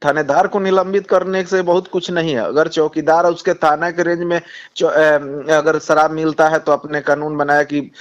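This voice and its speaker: The sound is a slightly muffled, lo-fi phone call. A man with a Bihari accent and a somewhat high voice makes a political argument. He is insistent, often stumbling and repeating his phrases. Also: flat delivery. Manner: halting, repetitive, insistent. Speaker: communist party politician. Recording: dull lo-fi phone call recording.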